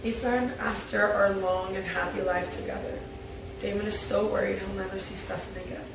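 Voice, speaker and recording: A woman's voice: medium pitch, steady tone, normal speed, creaky, noisy background. A woman speaking as a fan, reading script lines aloud or chatting casually. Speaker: fan table reader and commentator. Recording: very echoey, far from mic, music behind.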